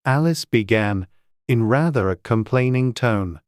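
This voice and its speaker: A man narrating a storybook from a script, measured and clearly enunciated. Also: mid-range voice, expressive, no echo, clean studio sound. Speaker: audiobook narrator.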